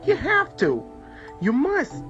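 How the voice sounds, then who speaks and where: A man's voice: very high-pitched, wildly swooping pitch, slow, a bit bright, highs cut off, voice pushed up, exaggerated voice. A man voicing a tiny, stern, urgent male elf with a small voice and a harsh, clipped, insistent manner. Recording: music behind the voice.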